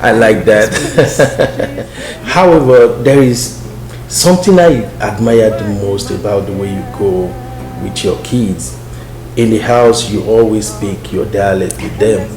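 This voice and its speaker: A man's voice: lowish voice, takes it slowly, a little mellow, echoey room, faint music behind, poor audio. A man hosting a podcast interview, playful and teasing, laughing often. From Nigeria. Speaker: podcast host. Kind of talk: casual interview chat.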